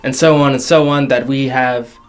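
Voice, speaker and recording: A man's voice: medium pitch, steady tone, moderate pace, clear, resonant tone. A young man hosting a casual entertainment commentary, jokey and slangy, stretching words for emphasis. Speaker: video host. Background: background music.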